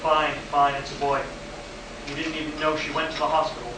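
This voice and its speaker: A man's voice: a little high, little pitch movement, a little fast, natural voice. Man acting anxious aging control chief, echoey hall. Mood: weary, guilty. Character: aging male flight control chief, gruff, anxious, guilt-ridden. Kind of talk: amateur live radio play acting. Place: live stage at a convention. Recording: distant, echoey live hall recording with noise.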